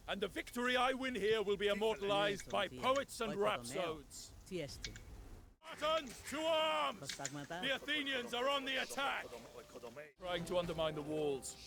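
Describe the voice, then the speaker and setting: A man's voice: high-pitched, animated, measured pace, creaky, music in the background, exaggerated voice. A man playing a bold, proud Spartan general in a game, giving rousing, commanding speeches and orders. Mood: confident, proud, then urgent command.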